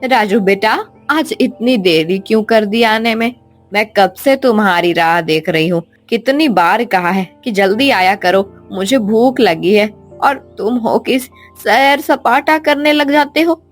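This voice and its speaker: Woman as a nagging, unhurried cartoon mother. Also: a little high, faint music behind. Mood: scolding. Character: scolding, impatient mother of schoolboy. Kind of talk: cartoon storybook voice acting.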